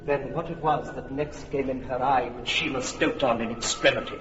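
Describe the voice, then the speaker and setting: An actor playing a stately, scheming fairy king in a classic radio drama, speaking verse in a measured way with sharp bursts of command. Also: medium pitch, steady tone, dull audio, close to an everyday voice. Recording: roomy reverberant sound with room noise, from a distance.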